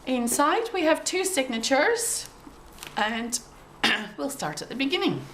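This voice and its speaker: Woman, croaky from a cold, gentle and chatty, lots of ums, slightly high pitch, faint background noise. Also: very animated, slow pace. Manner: gentle, chatty, with frequent ums and throat clearing. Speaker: craft journal maker showing her work. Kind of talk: casual show-and-tell.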